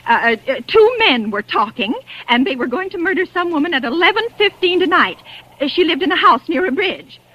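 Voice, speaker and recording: A woman's voice: extremely high pitch, sing-song, a little fast, highs cut off. An actress playing a nervous, demanding wealthy invalid woman in a 1940s radio drama, stammering, fretful and imperious. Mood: agitated.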